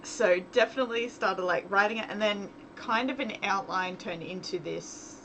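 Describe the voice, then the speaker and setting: A woman's voice: medium pitch, normal speed. A woman livestreaming casually as she works, thinking aloud in a hesitant way, trailing off and half talking to herself. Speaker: livestream host and visual novel developer. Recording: clearly noisy livestream with constant background ambience.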